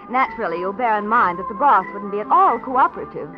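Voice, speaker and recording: An actress playing a cool scheming socialite. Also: female voice, mid-range voice, lightly acted. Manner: cool, cutting, arch. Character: cool, scheming married woman in her affair. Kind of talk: 1940s radio drama acting. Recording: dull old radio broadcast with music.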